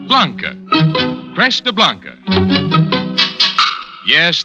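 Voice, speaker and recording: A male commercial announcer from vintage 1940s radio sells with polish and punch. His forceful, sharp voice moves animatedly in pitch. Music sits faintly behind him. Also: rough recording. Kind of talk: 1940s radio advertising read with spelled-out slogan.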